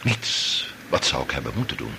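An actor playing an authoritative older doctor and father, measured and formal, with a Dutch accent in a radio drama. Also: extremely low pitch, sing-song, creaky, exaggerated voice. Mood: measured, commanding. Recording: noisy old radio drama recording.

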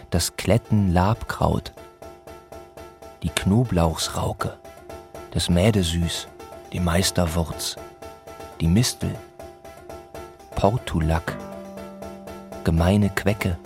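A sober, measured narrator in a literary radio play. His voice is low and he speaks extremely slowly, setting each short phrase down deliberately. Faint music runs behind him. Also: a little mellow, heavily acted.

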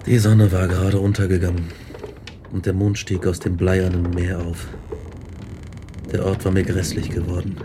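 An actor in a radio drama playing a haunted, despairing scientist who tells his story in a grave, measured way. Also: sits low, little pitch movement, background noise, close to an everyday voice.

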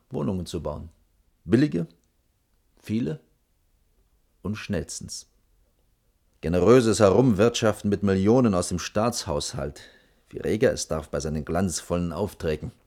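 A man's voice: low-pitched, darkish tone. An actor playing a stern, dutiful boss in his late thirties, speaking formal, brusque officialese in a German radio drama.

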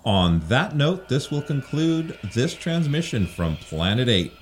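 A man reading a scripted podcast sign-off in a brisk, even way. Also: mid-range voice, slight background noise. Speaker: podcast outro announcer.

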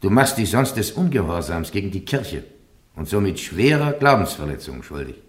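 An actor playing a stern, formal bishop who presides over a trial in a solemn, measured, ceremonious way in a radio play. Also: male voice, sits low, sing-song. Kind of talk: German radio play acting. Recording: echoey courtroom-like hall, radio drama.

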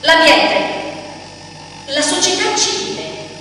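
An actress playing a formal, grandiose hostess, delivering a declamatory radio drama monologue with dramatic swells and hushes. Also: female voice, very high-pitched, slow pace, full character voice. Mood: grave, challenging. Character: formal, grandiose woman hostess addressing secret committee of experts. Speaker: stage actress. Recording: echoey hall from far off, noisy.

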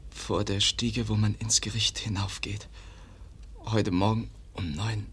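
A man's voice: on the low side, steady tone, measured pace, fairly bright tone, breathy edge, heavily acted. An actor in a German radio drama playing a wounded young man, weak and in pain, gasping out broken, clipped sentences. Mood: weak, labouring.

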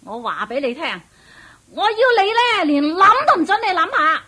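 Very shrill, shrewish Cantonese witch woman. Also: sing-song, sharp tone, full character voice. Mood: taunting, threatening. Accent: Hong Kong Cantonese. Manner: nagging, taunting, drawn-out emphatic delivery. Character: shrewish, domineering witch woman, scolding and vengeful. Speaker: radio drama actress. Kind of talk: theatrical radio drama acting.

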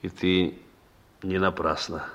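A man's voice: low voice, little pitch movement, slow, fairly bright tone, dull audio. An actor playing a reformed young crook and proud new father in a Soviet radio drama, talking in a colloquial, boastful, earnest way. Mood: tender, boastful. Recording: noisy old Soviet radio recording.

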